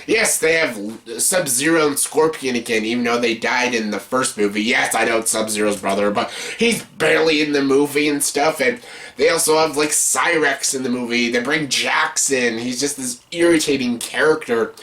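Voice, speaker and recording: A man with a sharp, bright, mid-pitched voice hosts an unscripted review countdown. He is blunt and exasperated, hitting words hard and repeating them for emphasis. His delivery is casual and opinionated, with some swearing. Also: normal speed. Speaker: host of a movie countdown video.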